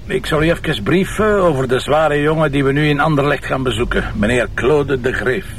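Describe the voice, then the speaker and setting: An actor playing a wry, confident police commissioner in a crime drama, speaking colloquially with a Flemish accent. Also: male voice, medium pitch, fairly quick, a little hiss or hum, lightly acted. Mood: dry, knowing. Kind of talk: television crime drama acting.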